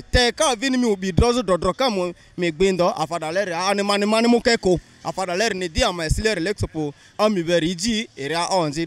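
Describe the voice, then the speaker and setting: Young man, fairly high voice. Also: no echo. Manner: earnest, explanatory, mostly in a local language. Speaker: interviewee. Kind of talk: street interview answers. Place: busy town street outdoors. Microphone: interviewer's handheld mic.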